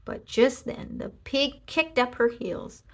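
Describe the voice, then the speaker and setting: A woman narrating a children's storybook in an expressive read-aloud style. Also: high-pitched, takes it slowly. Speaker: storybook reader.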